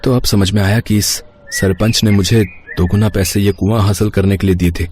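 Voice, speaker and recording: A man's voice: fairly low pitch, steady tone, fairly quick, a little mellow, slight background noise, close to an everyday voice. A man voicing a poor, earnest young village son in a moral story cartoon, pleading yet determined. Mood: suspicious, determined.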